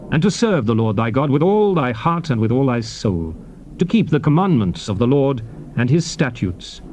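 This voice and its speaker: Faintly noisy old tape, Hebrew prophet, medium pitch, solemn, declamatory, measured, theatrical scripture drama, leader exhorting his people. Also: darkish tone, highs cut off. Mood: earnest exhorting. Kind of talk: dramatized scripture reading, formal theatrical oratory.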